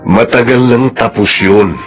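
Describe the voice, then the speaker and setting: A man playing a caring, steady widower in a radio drama, with a Filipino accent. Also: medium pitch, a little slow, faint music behind, close to an everyday voice. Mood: consoling. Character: caring widower, steady suitor consoling a mother.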